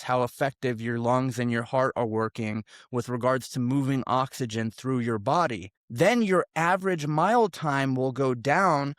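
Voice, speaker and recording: A man's voice: mid-range voice, a bit bright, no room sound, clean studio sound. A man in his thirties coaching salespeople on sounding confident, speaking emphatically and over-articulating his words. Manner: emphatic, over-articulated, demonstrates example lines. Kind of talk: direct-to-camera sales coaching.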